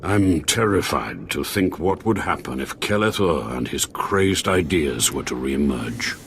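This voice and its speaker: An actor playing a grave, anxious Sith lord scholar with a formal, theatrical manner and husky voice in a video game. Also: male voice, a bass voice, expressive, measured pace, a bit bright, a little hiss or hum, exaggerated voice. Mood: alarmed. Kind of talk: video game cutscene acting.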